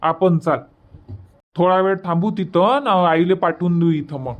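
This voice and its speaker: A man voicing a practical, impatient village husband in a comic cartoon, brisk and persuasive, with a Varhadi Marathi accent. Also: sits high, highs cut off. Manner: brisk, persuasive, matter-of-fact.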